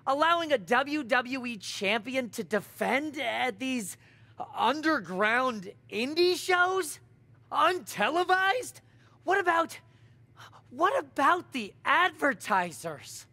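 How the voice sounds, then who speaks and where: A man's voice: extremely high pitch, very animated, takes it slowly, sharp tone, heavily acted. An actor playing a fussy, nervous male corporate executive who stammers through his objections in a video game cutscene. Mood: flustered protest.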